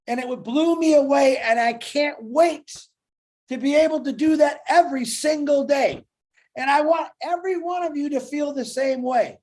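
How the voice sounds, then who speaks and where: A woman giving a passionate motivational talk in a webinar, fervent and loud, sometimes breaking into shouts. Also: sits high, moderate pace. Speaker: webinar speaker.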